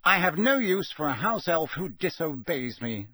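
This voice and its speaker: A cold, stern middle-aged official, voiced by a male storybook reader, clipped and deliberate. The delivery is husky and close, with a dry sound. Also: high voice, very animated, pushed and bright, no echo, voice pushed up, heavily acted.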